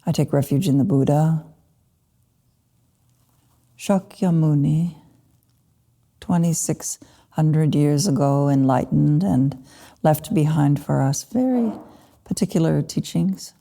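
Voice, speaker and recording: A woman teaching a guided meditation, speaking in short, unhurried phrases with gentle pauses. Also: low voice, creaky. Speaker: Buddhist meditation teacher (lama).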